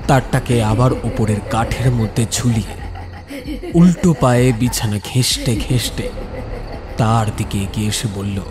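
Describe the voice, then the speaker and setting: A man tells a scripted horror story in a mid-pitched voice. He breaks it into suspenseful short phrases, with soft music and night insects behind him. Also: a little slow. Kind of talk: scripted dramatic narration.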